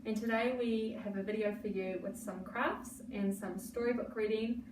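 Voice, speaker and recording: A woman librarian introducing a children's video and reading a storybook aloud in an encouraging, expressive way. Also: medium pitch, moderate pace. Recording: echoey room, mic far off, noisy.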